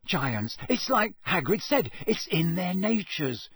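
Guy doing a blurty teen lad, storybook sidekick, higher voice, drags his words out, super dry sound. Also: sing-song, slow pace, a bit bright, slightly rough, dry recording, full character voice. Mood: grave, hesitant. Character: blunt, easily flustered teenage boy, loyal sidekick.

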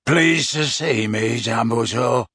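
A man doing a rasping, bloodthirsty werewolf. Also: mid-range voice, slow, fairly bright tone, dry recording, studio quality. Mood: menacing. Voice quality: rasping bark.

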